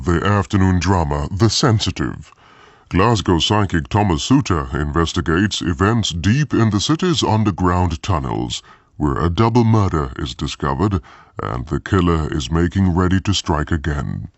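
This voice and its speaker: A man's voice: low voice, lively intonation, normal speed, no echo, lo-fi sound. A man giving a scripted introduction as a radio continuity announcer.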